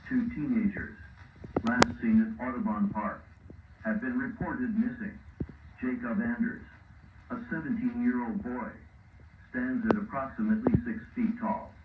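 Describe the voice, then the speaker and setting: A man voicing a formal, impersonal emergency broadcast announcer, reading an official alert in a measured, stern way. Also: medium pitch, narrow, tinny audio. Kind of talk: formal scripted public alert. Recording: roomy, distant, faintly noisy broadcast playback.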